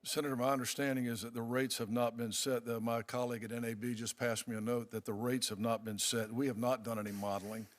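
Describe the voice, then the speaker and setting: Guarded man testifying, medium pitch. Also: flat delivery, quick pace, few pauses. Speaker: witness, radio industry representative, at a hearing. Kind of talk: formal testimony, answering questions.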